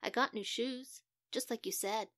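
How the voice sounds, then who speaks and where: A woman's voice: a little high, measured pace, a bit bright, no echo, studio quality. A woman voicing a cheerful, heedless young boy in a children's story. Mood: agreeable.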